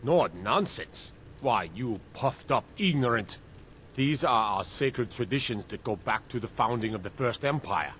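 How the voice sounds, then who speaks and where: Proud Nordic warrior, fervent, forceful, mid-range voice, fantasy game dialogue. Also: male voice, medium pitch, animated, a little slow, slight background noise. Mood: indignant.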